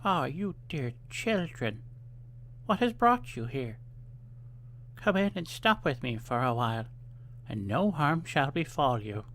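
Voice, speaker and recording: A man voicing a wicked old witch from a storybook, with a theatrical, menacing, drawn-out delivery. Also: fairly high pitch, expressive, a bit bright, slight background noise, full character voice. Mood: coaxing. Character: wicked old witch, cajoling then cruel and impatient. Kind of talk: dramatized fairytale storybook reading.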